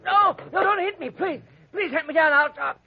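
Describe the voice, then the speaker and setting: A very high, gravelly little crook, whining and cowardly in an old radio crime play. He pleads in a panic, and the sound is dull and dated. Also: moderate pace, a little hiss or hum. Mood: panicked pleading. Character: nasty little scarred henchman, cowardly and whining. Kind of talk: 1940s radio acting. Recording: dull, band-limited old radio recording.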